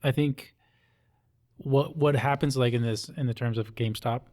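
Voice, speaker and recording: An American man with a mid-pitched voice. He talks casually as a guest in conversation, putting his points bluntly and colloquially. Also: steady tone, normal speed, a little mellow. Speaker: interview guest.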